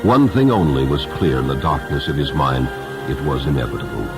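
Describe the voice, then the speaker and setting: A man with a very low voice reads a story aloud as a dramatic narrator. His delivery is solemn and deliberate, with wide, expressive swings in pitch, and music plays clearly behind him. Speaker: narrator, audiobook reader.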